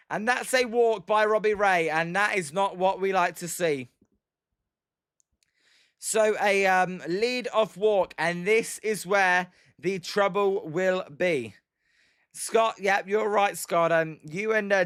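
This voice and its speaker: Chatty British man, croaky stream commentator. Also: high voice, a bit bright, no echo, clean studio sound. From Britain. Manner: rambling, many "um"s and "as" fillers. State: slightly croaky from daily streaming. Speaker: live-stream baseball commentator. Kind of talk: casual play-by-play with chat replies.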